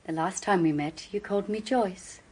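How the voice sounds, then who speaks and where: An actress playing a composed, mysterious young woman in a radio detective drama, with a breathy, quietly intimate voice. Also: medium pitch, moderate pace, natural voice. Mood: quiet, intent.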